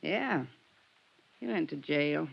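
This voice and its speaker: Low, creaky-voiced, guarded landlady. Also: expressive, slow, heavily acted. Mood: wary, curt. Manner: terse, ungrammatical, suspicious. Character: weary, guarded landlady of a shabby rooming house. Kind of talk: 1950s radio drama acting. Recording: muffled 1950s radio recording.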